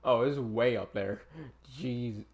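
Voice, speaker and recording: A man's voice: mid-range voice, slow, darkish tone. A man casually commenting while streaming a game, talking to himself in a laid-back way. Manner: laid-back, talks to himself, hums along. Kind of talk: casual live gameplay commentary. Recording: gameplay stream with faint game music.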